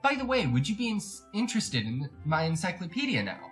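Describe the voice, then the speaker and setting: A man's voice: high-pitched, wildly swooping pitch, brisk, a bit bright, soft background music, full character voice. A man playing a pompous, evasive salesman husband in retro radio drama style, with formal diction that turns to stammering when flustered. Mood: smooth, chatty. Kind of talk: retro 1940s radio comedy-thriller acting.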